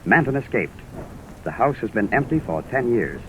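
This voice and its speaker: A man's voice: medium pitch, sing-song, moderate pace. A man working as a radio announcer, reading scripted narration and sponsor copy in a formal, emphatic old-time style. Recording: vintage radio recording, faint background noise.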